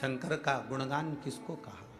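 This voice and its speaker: An Indian man from Madhya Pradesh giving a devotional sermon, reading a listener's letter aloud, emphatic and alternating loud declarations with hushed lines. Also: medium pitch, moderate pace. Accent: Madhya Pradesh Hindi. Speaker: katha preacher. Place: large outdoor religious gathering.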